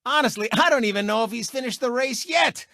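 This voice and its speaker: A man's voice: very high-pitched, fast talker, pushed and bright, no echo, clean studio sound, full character voice. A man voicing a cocky, gloating race car turned sportscaster in a video game, with a smug, mocking, theatrical showman's delivery. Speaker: sports show host and racer. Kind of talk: exaggerated sportscast commentary and race taunts.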